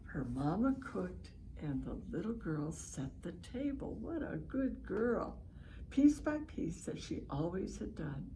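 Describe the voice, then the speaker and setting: A woman hosting a children's storybook read-aloud, speaking in an encouraging, expressive way and pausing to ask young listeners questions. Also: mid-range voice, a little hiss or hum, poor audio. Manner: encouraging, expressive, lingers on phrases and prompts listeners. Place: at home, online session.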